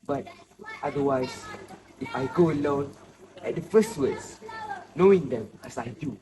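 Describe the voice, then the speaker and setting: A man acting an anxious, hesitant old man in a student stage play, speaking in halting, deliberate phrases. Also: medium pitch, mellow, close to an everyday voice. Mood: fearful, brooding. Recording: noisy amateur recording with ambient sound.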